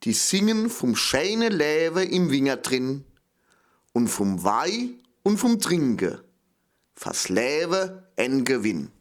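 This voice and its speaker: A man with a Palatinate German accent reciting a dialect poem as a little grapevine, in deliberate sing-song short phrases. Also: medium pitch, very animated. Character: little grapevine, proud, affectionate, a bit fearful.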